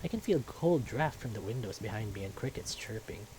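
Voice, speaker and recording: A man's voice: fairly low pitch, expressive, a little fast, background noise. A man reading a visual novel aloud as storytelling narration, now and then stumbling over a word.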